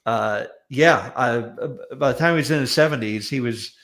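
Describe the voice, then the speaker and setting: A man's voice: mid-range voice, moderate pace, a bit bright. A middle-aged or older man chatting casually on a panel, with wry, amused remarks.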